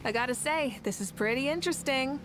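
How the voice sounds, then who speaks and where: A woman's voice: sits high, brisk, close to an everyday voice. A woman voicing a confident, playful young female racer in a video game, breezy and self-assured. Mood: amused, confident.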